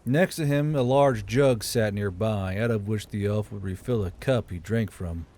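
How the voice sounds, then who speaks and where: A man's voice: fairly low pitch, moderate pace, darkish tone. A man reading scripted fiction as an audiobook narrator.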